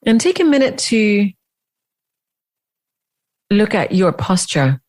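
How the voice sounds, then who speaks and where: A woman leading a guided meditation as a teacher, speaking in deliberate phrases with long pauses. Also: medium pitch, big pitch swings, a little mellow.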